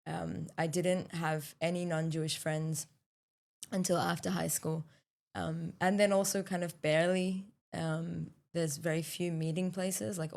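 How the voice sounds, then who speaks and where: Podcast co-host: young woman, low pitch, thoughtful, lots of ums and self-corrections. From South Africa, raised in Australia. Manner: thoughtful, halting, many fillers and restarts. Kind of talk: casual podcast conversation.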